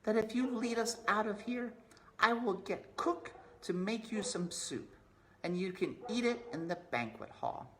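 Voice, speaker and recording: A man voicing a gentle, earnest young princess in a storybook. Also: sits high, lively intonation, measured pace, slight background noise, pitched above the performer's own voice, exaggerated voice.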